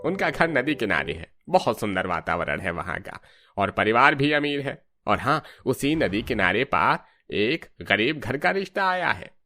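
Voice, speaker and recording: A man's voice: medium pitch, sing-song, measured pace, sharp tone, faint music behind, full character voice. A man voicing a genial, obliging priest who arranges marriages in a Hindi cartoon story, ingratiating and emphatic. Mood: persuasive.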